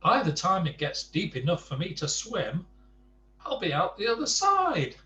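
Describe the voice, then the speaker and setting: A man voicing a very tall, gloomy storybook man who complains mournfully in drawn-out words. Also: high-pitched, wildly swooping pitch, pushed and bright, reverberant, slight background noise, pitched above the performer's own voice, full character voice.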